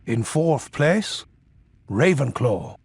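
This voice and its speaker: An actor playing a kindly, dryly witty elderly wizard headmaster in a video game, measured and ceremonious, with a creaky voice. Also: male voice, mid-range voice, very animated, noisy background, heavily acted. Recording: loud music behind the voice. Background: music.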